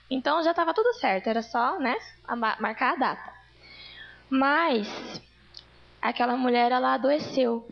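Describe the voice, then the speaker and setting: A woman's voice: high-pitched, gravelly, muffled recording. A woman giving an earnest testimony sermon to a church congregation, telling a story. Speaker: church speaker.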